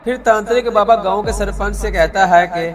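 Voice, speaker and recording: A man's voice: high voice, fairly even intonation, a little fast. A man narrating a horror folk tale as a storyteller. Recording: music behind.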